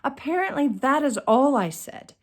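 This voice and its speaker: Casting: woman storyteller, middle-aged, fairly high voice with very lively swoops, theatrical delivery and dramatic pauses. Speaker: storyteller, channel host. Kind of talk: personal comedic storytelling to camera. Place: indoors, small curtained room. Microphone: phone at arm's length.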